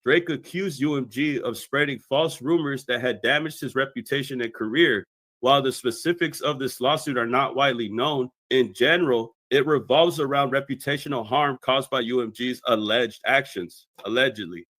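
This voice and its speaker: A man's voice: mid-range voice, moderate pace, fairly bright tone, no room sound. A man delivering scripted explanatory commentary in a methodical, expository way as a video commentator.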